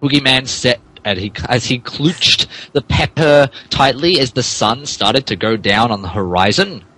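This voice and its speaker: A man's voice: medium pitch, measured pace, pushed and bright, rough recording. A man narrating a fan fiction as a mock-dramatic podcast reading, theatrical but stumbling over the text, with asides. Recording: podcast with faint background noise.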